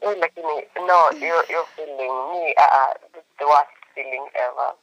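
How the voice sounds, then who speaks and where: Bright, upbeat man. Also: fairly high pitch, normal speed, lo-fi sound. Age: 30s. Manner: playful, laughs often, mixes Swahili and English. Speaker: podcast co-host. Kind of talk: casual chat.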